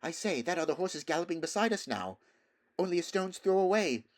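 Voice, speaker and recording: A man's voice: high-pitched, animated, fairly quick, pitched above the performer's own voice. A man voicing an anxious, plain-spoken young boy in a storybook, earnest and exclaiming. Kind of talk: storybook character voice.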